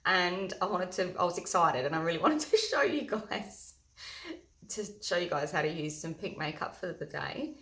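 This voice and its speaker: A woman with a loud, husky voice, chatting through a casual makeup tutorial early in the morning before her coffee. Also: fairly low pitch, sing-song, normal speed. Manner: loud, chatty, talks through each step. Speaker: makeup vlogger. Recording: echoey room.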